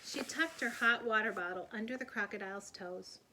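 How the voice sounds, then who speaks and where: A woman reading a children's story aloud in an expressive storyteller's manner. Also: mid-range voice, a little fast, low-quality recording. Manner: expressive, animated delivery of dialogue. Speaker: storybook reader. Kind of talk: scripted read-aloud storytelling.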